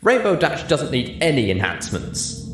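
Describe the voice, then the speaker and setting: A man's voice: on the high side, very animated, moderate pace, reverberant, voice pushed up. A man voicing a brash, boastful, tipsy female pegasus pony in an audiobook-style reading, full of giggly bravado.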